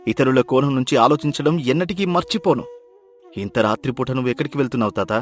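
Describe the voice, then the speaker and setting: A man's voice: mid-range voice, lively intonation, fast, natural voice. An actor playing an educated young city man, humbled and repentant, speaking earnestly and emphatically in a dramatized moral story. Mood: earnest, grateful.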